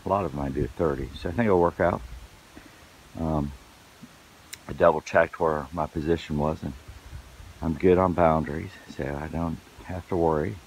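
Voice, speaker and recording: Hoarse man, very deep voice, rambling with fillers, noisy outdoor recording. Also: steady tone, normal speed, long pauses, darkish tone. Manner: rambling, lots of fillers and restarts. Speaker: vlogger. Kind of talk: casual outdoor vlog narration. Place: outdoors on wooded property.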